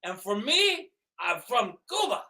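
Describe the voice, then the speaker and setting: A man's voice: extremely high pitch, very animated, measured pace, pushed and bright, distant mic, full character voice. A man voicing an eager, worldly shopkeeper from Cuba in improvised comedy, instructive and salesmanlike.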